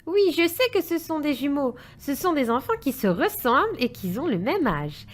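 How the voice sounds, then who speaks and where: Woman doing a little kid girl for a cartoon, high voice, super expressive and curious. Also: moderate pace. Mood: puzzled. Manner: inquisitive, expressive, childlike. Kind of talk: French children's cartoon dubbing.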